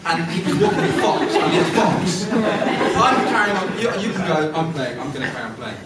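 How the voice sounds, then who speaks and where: A man's voice: on the high side, moderate pace, a loud crowd, close to an everyday voice. Brief: headstrong bossy girl, told by a male storyteller, noisy live room. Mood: dismissive. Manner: dismissive, headstrong. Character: bold bossy older-sister girl. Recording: echoey room from far off, noisy.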